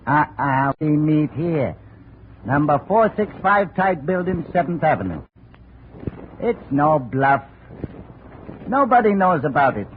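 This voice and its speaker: A man's voice: a little high, sing-song, takes it slowly, long pauses. An actor playing a shady, self-assured private investigator in a 1950s radio detective drama, sly and clipped as he bargains. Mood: sly persuasion. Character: shady male private investigator, coolly baiting a blackmail deal. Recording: narrow, tinny, hissy old radio recording.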